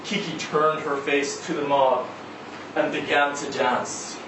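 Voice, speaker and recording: A man giving a dramatic live reading of a short story, with measured, deliberate phrasing and pauses. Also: a little high, fairly even intonation. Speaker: story reader at a live literary event. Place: indoor event venue before a live audience. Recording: echoey room, distant mic, noisy background.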